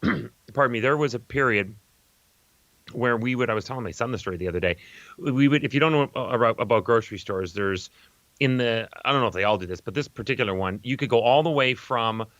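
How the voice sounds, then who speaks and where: A middle-aged man chats casually as co-host of a comedy show. His voice sits at an ordinary pitch, recorded dry and free of room sound, and he rambles jokily through his stories with exclamations along the way. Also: brisk, fairly bright tone, no echo, highs cut off. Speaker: co-host of a live comedy film-riffing show. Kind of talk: casual chat, comic storytelling.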